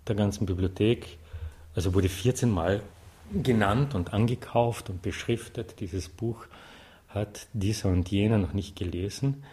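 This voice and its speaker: Low-voiced Austrian man, wry. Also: darkish tone. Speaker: interview guest, artist and self-appointed librarian. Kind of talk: conversational interview answers.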